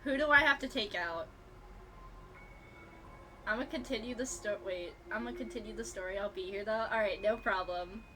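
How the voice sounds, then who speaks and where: A woman's voice: medium pitch, normal speed, long pauses, sharp tone, slight background noise. A woman game streamer giving informal, slangy, reactive commentary as she plays. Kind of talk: casual live game commentary. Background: game music.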